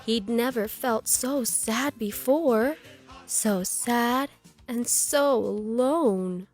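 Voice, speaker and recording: A woman narrating a children's storybook in rhyme, with an expressive, deliberate and rhythmic delivery. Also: fairly high pitch, soft background music. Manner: deliberate, rhythmic verse reading. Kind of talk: expressive scripted read-aloud for young children.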